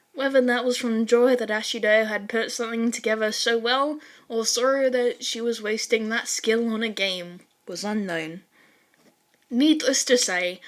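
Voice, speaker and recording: A woman reading fan fiction aloud as a scripted story narration. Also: a little high.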